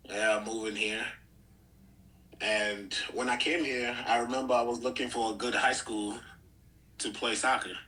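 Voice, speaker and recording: A young man from Nigeria, a guest on an interview podcast, telling his story in a relaxed way with frequent "uh" fillers. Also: medium pitch, rough recording. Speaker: guest, pro athlete. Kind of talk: casual interview chat.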